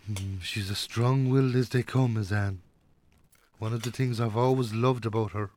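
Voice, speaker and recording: An actor playing a gentle, wistful Irish father of a grown son in a naturalistic radio drama. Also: fairly low pitch, steady tone, moderate pace, noisy background, natural voice. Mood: fond, wistful.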